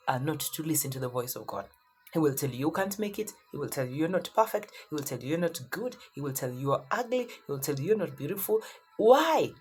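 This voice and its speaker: Animated low-voiced woman preacher. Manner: emphatic, repeats phrases for effect. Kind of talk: motivational sermon.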